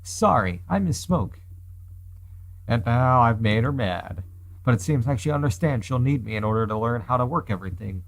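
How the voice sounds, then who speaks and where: A man's voice: mid-range voice, animated, normal speed, gravelly. A man voicing a wary, nerdy hostage inventor who argues dryly in a visual novel. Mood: wary, reasoning. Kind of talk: reading visual novel dialogue and inner thoughts.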